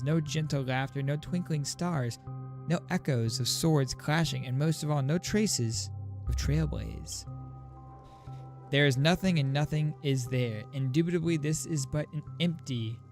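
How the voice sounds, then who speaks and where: A male game streamer reading story narration aloud like a measured storyteller, with casual, playful asides. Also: medium pitch, a little mellow. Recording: faint game music underneath.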